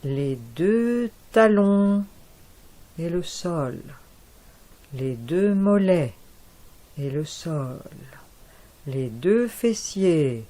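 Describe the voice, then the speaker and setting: Very slow, calm woman guiding relaxation. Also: fairly low pitch. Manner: slow, soft, calm guiding voice with long pauses. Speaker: yoga nidra teacher. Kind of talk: guided relaxation.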